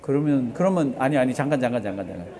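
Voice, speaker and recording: Mellow-voiced man preaching conversationally. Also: mid-range voice, expressive, racing. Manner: conversational, asks the congregation rhetorical questions and has them respond. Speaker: pastor preaching to a congregation. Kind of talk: sermon.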